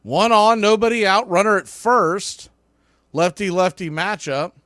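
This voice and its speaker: A man doing live baseball radio play-by-play in short, clipped phrases, rising to excitement when the action calls for it. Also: sits high, measured pace. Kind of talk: live sports radio commentary.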